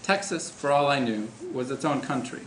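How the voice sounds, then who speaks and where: Man, author reading a short story, medium pitch, echoey venue, light background noise. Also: normal speed, a bit bright. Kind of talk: first-person literary reading.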